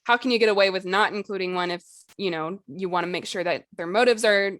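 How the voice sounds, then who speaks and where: A woman's voice: mid-range voice, fast, a bit bright, no echo. A woman hosting a podcast, talking informally and conversationally about the craft of writing. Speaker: podcast host. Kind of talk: casual discussion of writing craft.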